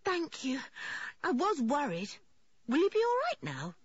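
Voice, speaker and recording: Woman voicing a very high, hot-tempered young girl. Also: wildly swooping pitch, unhurried, no echo, highs cut off, exaggerated voice. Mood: anxious. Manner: shouting, urgent, emotional. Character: hot-tempered, loud young girl, anxious for her dog. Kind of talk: audiobook character voice.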